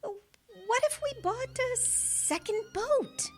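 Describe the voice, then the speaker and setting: A female performer playing a pampered, excitable queen in a comic radio drama, gushing and gasping in an affected way. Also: very high-pitched, faint music behind, heavily acted. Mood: petulant. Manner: breathy gasps, gushing, affected, drawn-out words. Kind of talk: radio comedy audio drama acting.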